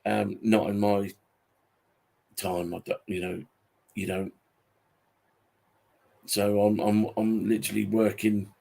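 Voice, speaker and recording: A British man in his forties with a husky, fairly flat delivery. He rambles casually in a grumbling, weary way, often stopping and repeating himself as he goes. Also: fairly low pitch. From Britain. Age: 40s. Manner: halting, repetitive, grumbling. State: tired. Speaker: YouTube channel host. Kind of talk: casual unscripted monologue.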